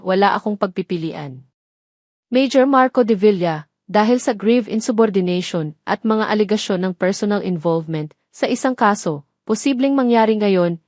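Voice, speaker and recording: A woman narrator plays an older police general in an audio drama. He speaks gravely and with command, a father figure with a stern, cold edge. The sound is dry and close. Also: medium pitch, darkish tone, dry recording, natural voice.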